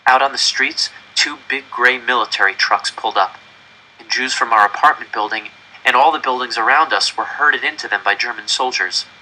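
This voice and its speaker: A man's voice: mid-range voice, normal speed, pushed and bright, a little hiss or hum, low-quality recording. A man reading a first-person story aloud with dramatic, tense delivery. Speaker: audiobook reader.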